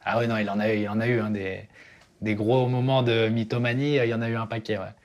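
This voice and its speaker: Laid-back low-voiced man reminiscing. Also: flat delivery, quick pace, a little mellow, highs cut off. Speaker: brother. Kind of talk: casual interview.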